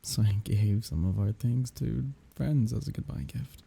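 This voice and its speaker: A grieving man played by a male voice actor murmurs an intimate farewell to his dead lover. His voice is very dark and mellow, thick with breathy husk and gravel. He speaks haltingly, tender and wry, in the close style of an ASMR audio drama. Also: exaggerated voice. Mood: wry, subdued. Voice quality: husky, breathy, gravelly low voice.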